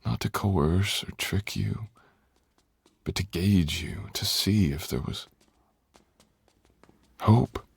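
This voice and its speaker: A man acts out a brooding dragon lord in an intimate fantasy audio roleplay. His voice is extremely low and full of gravel, and he speaks slowly and deliberately. He sounds tender and seductive, with formal, storybook phrasing. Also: no room sound, heavily acted. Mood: earnest, tender. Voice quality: very deep, gravelly, husky, often breathy.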